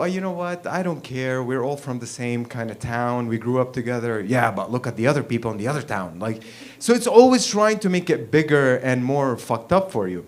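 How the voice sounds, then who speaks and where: A man in his thirties, from Lebanon, tells a frank personal story on a small stage. He talks bluntly and swears freely, laughing and pushing his voice up when he gets fired up. His voice is of middle pitch, heard through a handheld mic. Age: 30s. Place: small performance venue, in front of an audience.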